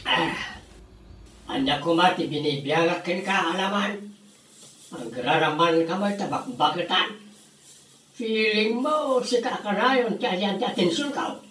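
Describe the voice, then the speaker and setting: A woman's voice: on the low side, measured pace, a bit bright, slight background noise. A woman with an Ilocano accent playing a grumpy, suspicious old village elder who grumbles emphatically in a comedic radio drama. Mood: crabby, bickering.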